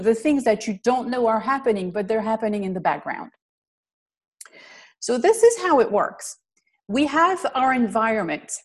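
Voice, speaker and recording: A woman from Belgium, a physical therapist giving an online presentation in an earnest, explanatory way over a video call. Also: a little high. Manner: earnest, explanatory, lists things step by step. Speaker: physical therapist and riding instructor presenting a webinar.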